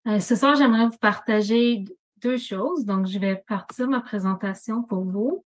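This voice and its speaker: A woman's voice: mid-range voice, measured pace. A woman in her forties with a Franco-Ontarian French accent gives an organized presentation to a committee over a video call. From Northern Ontario, Canada. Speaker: student services manager.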